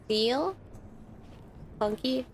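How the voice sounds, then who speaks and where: A woman's voice: a little high, lively intonation, extremely slow, slight background noise. A woman streamer with a stuffy nose, chatting casually with viewers while playing a game. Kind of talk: live gaming stream chat.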